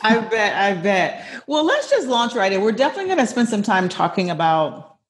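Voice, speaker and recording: A woman's voice: mid-range voice, wildly swooping pitch, a little fast, slight background noise. A woman hosting an interview show, upbeat and affirming as she introduces and questions her guest. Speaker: host. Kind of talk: podcast interview.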